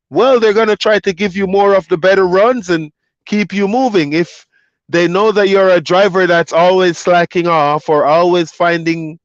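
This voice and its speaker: A man's voice: on the high side, no echo, dull audio. A man hosting a live stream as a trucker, talking casually and reading chat comments aloud. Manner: laid-back, loose, reads chat comments aloud.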